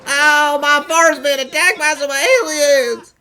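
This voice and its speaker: A man's voice: very high-pitched, steady tone, slow pace, pushed and bright, full character voice. A man playing a humble, anxious wheat farmer with a rural country accent in a game fan dub. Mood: alarmed. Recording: music behind the voice.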